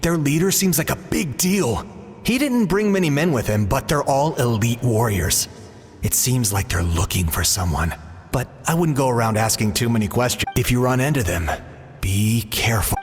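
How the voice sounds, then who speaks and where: A man's voice: mid-range voice, expressive, moderate pace, close to an everyday voice. A man voicing a jovial, chatty, easygoing uncle in a video game, with a hearty, folksy manner. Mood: concerned. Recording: long reverb, faint music.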